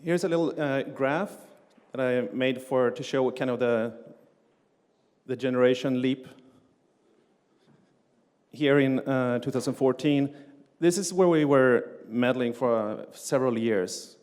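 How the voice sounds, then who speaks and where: A man's voice: mid-range voice, unhurried, long pauses, darkish tone, smooth. Man lecturing in a reverberant hall. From Sweden. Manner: frequent "uh" fillers and restarts, plain explanatory delivery. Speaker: conference speaker, game engine developer. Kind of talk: technical conference talk. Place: conference hall on stage.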